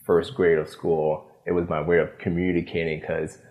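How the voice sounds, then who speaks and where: A man's voice: low-pitched, flat delivery, normal speed, darkish tone. A man, a native French and Creole speaker from Miami, telling stories casually as an interview guest and acting out what people said. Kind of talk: casual conversational storytelling.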